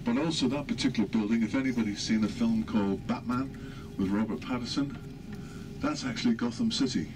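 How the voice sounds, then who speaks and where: A man giving sightseeing commentary as a tour guide, explaining in a measured, emphatic way with pauses. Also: mid-range voice, lively intonation. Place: inside a moving tour bus. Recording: loud vehicle noise throughout. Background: engine and road noise.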